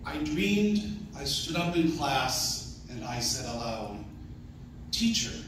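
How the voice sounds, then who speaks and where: A man's voice: medium pitch, very animated, takes it slowly. A man giving a dramatic poetry reading to a large conference room, recorded from far off. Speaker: poem reader at a conference. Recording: echoey conference hall from far off, noisy.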